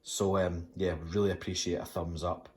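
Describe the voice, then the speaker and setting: Deep-voiced Scottish man, chatty. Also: level tone, moderate pace. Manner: chatty, rambling with fillers and restarts. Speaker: toy collector channel host. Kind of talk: casual unboxing vlog. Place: home room with display cabinets.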